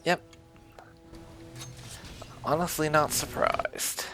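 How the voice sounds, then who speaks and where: A man's voice: on the high side, fairly even intonation, very slow, music in the background. A man giving casual gameplay commentary as host, with dry, muttered asides. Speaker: gaming channel host.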